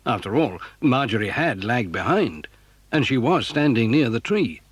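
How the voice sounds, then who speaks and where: An English man narrates a children's story in a mid-pitched voice. His intonation rises and falls widely, and the sound is close and dry. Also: sing-song, normal speed, husky, no echo.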